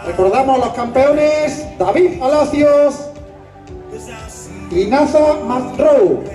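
High-pitched race announcer over echoey PA. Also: expressive, dark tone. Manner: drawn-out emphatic delivery of names, rallying applause. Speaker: race finish-line announcer. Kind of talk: live sports announcing over a PA. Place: outdoor race finish line. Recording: echoey PA at crowded event, music behind.

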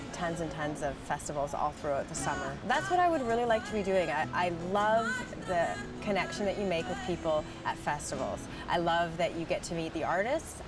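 A young singer from Canada and Portugal speaks in a low, earnest voice. She pauses often with fillers as she thinks. The mic sits back from her outdoors, with loud music underneath. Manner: thoughtful, earnest, with many fillers. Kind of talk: interview. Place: outdoors by a harbor railing. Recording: distant mic with music behind.

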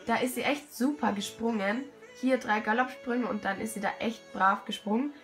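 A hoarse young woman with a cold, talking casually and self-critically over a video of her riding. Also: fairly high pitch, moderate pace, fairly bright tone, faint music behind. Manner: self-critical, chatty, many fillers. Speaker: rider commenting her own show-jumping test video. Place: at home, voice-over.

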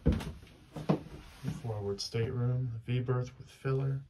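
Slow, flat-voiced man touring a boat. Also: mid-range voice, very noisy. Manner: slow, steady, plain listing of features. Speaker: boat broker giving a walkthrough. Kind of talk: narrated walkthrough tour. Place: aboard a sailboat at a marina dock, indoors and out.

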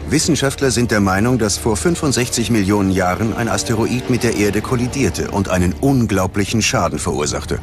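A man's voice: fairly low pitch, lively intonation, a little fast, hardly any pauses, over music. A man narrating a documentary from a script in a dramatic style.

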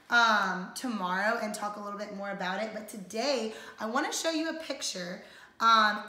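Bright-voiced young woman reading to children. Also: fairly high pitch. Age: young adult. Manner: simple, child-directed phrasing. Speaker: teacher reading a picture book to children. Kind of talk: read-aloud to young children with simple explanatory asides. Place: indoors, living room on a leather couch. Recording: roomy, echoey home recording.

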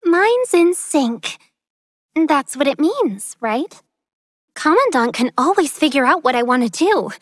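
Bubbly young artist heroine, video game character, very high voice, unhurried, coy and teasing. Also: female voice, big pitch swings, dry recording, studio quality, full character voice.

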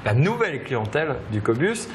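A man's voice: mid-range voice, big pitch swings, moderate pace, background noise. A man in his thirties hosting a casual on-location interview for television. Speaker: TV show host. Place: restaurant dining room and kitchen.

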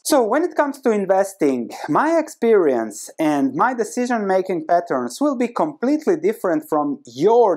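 A man in his thirties with a Croatian accent, a native Croatian speaker, giving an animated, conversational explanation to camera with emphatic repetition. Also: on the high side. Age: 30s. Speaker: host of an investing video channel. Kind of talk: explanatory book summary to camera. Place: small indoor room with bookshelves. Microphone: lapel mic.

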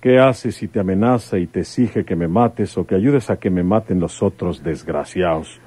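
A man's voice: low-pitched, moderate pace, mellow, breathy edge. An actor with a Mexican accent playing a stern, probing rural detective and father in a radio drama, with rustic, insistent speech. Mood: pressing, suspicious. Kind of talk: old-time radio drama acting.

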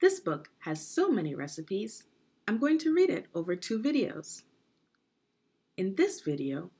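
A middle-aged woman with a slightly husky voice, giving a deliberate, instructive read-aloud lesson for young children. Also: fairly low pitch, darkish tone. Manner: deliberate, instructive, with pauses and questions. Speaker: education consultant reading aloud to children.

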